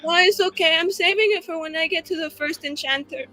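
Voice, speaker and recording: A woman's voice: extremely high pitch, brisk, over music, voice pushed up. A woman playing a tipsy, chatty young mage in a tabletop game, rambling and effusive in informal language. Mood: tipsy, gushing.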